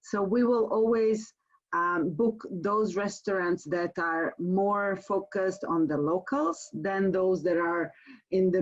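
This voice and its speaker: A woman's voice: fairly low pitch, a little mellow. Muffled Croatian-accented woman presenting on a webinar. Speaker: tour company presenter. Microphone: online webinar call. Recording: muffled, band-limited webinar call audio.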